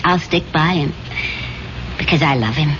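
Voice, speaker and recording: A dull, noisy vintage radio recording carries an actress as a loyal but disappointed wife. She speaks at an average pitch in short, restrained, pointed phrases, in 1970s radio drama style. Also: moderate pace, lightly acted.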